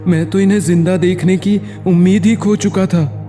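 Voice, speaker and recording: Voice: medium pitch, steady tone, normal speed, warm tone, lightly acted. An actor voicing an earnest, grateful young village man in a cartoon story. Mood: relieved. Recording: long reverb, music bed.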